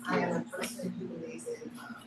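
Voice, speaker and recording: Soft-spoken woman in a noisy echoey room. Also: fairly low pitch, completely flat delivery, brisk. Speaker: assistant principal. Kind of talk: self-introduction at board meeting. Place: meeting room. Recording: echoey room, distant mic, loud noise.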